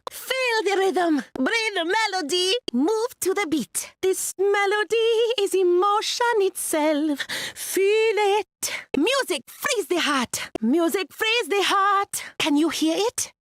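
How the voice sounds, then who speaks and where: A woman playing a serene, poetic young musician in a video game, with a lyrical, gently theatrical delivery. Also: very high-pitched, lively intonation, slow pace, no echo, exaggerated voice. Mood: serene, entranced. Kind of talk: game character voice lines.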